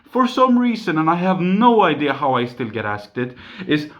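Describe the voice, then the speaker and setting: Young Swedish man with a high voice. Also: very animated, moderate pace, dull audio. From Sweden. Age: 20s. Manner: chatty, many fillers and restarts. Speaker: YouTuber. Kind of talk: casual Q&A vlog. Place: home room. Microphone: phone camera.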